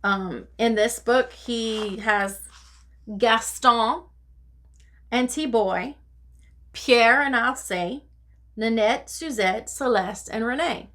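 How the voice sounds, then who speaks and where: High bright-voiced woman introducing a story. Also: slow, pauses often. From Louisiana, USA. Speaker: story reader for children. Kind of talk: casual introduction to a story reading.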